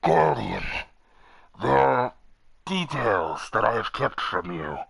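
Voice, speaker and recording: A man voicing a solemn, troubled alien leader who speaks haltingly and pleads gravely, in a fan game read-through. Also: medium pitch, big pitch swings, a bit bright, no echo, clean studio sound, heavily acted. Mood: grave, conflicted.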